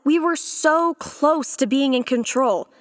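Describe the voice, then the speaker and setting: A determined, skeptical woman played by an actress in a comedy audio drama. Her voice sits fairly high, with a blunt, worried delivery and a close, dry sound. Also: slow, no echo. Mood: exasperated, urgent. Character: exasperated, determined, skeptical woman trapped in simulation.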